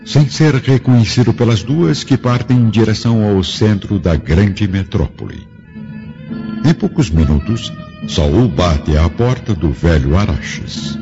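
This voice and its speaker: A male narrator with a Brazilian Portuguese accent tells a radio drama in a scripted, theatrical style. His voice is very deep and dark, with wide, expressive swings of pitch. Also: measured pace. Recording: muffled radio drama recording with music.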